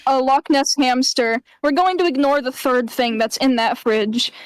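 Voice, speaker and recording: A woman's voice: high-pitched, unhurried, smooth, dry recording, poor audio. A woman doing a jokey voice-over as a pretend emergency doctor, with mock-serious patter. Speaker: host voicing over a doctor clip. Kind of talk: comedic voice-over riff.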